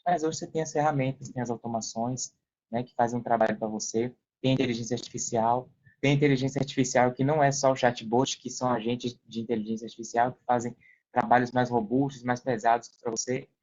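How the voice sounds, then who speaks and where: A man's voice: medium pitch, fairly even intonation, fast talker, a little mellow. A Brazilian man explaining things casually as a podcast guest, repeating phrases for emphasis. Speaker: podcast guest, affiliate seller. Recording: dull, band-limited sound.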